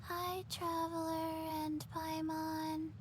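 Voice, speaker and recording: A woman voicing a perky, chatty, childlike fairy companion with a squeaky voice in a video game. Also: little pitch movement, drawn-out words, very noisy, full character voice. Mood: cheerful. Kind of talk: scripted video game dialogue. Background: game music.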